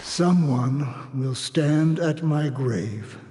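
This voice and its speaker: An actor playing a solemn, weary war veteran who guides lost souls, speaking gravely and reflectively in a video game cutscene. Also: male voice, a little high, very slow. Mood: gentle, reflective. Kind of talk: dramatic game cutscene acting. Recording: steady rain noise behind the voice.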